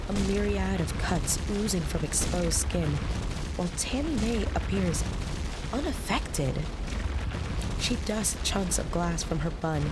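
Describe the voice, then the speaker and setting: A woman's voice: lowish voice, heavy background noise. A woman playing an anxious assistant who narrates an audio drama in the first person, in a dramatic scripted style. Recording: loud music and effects behind voice.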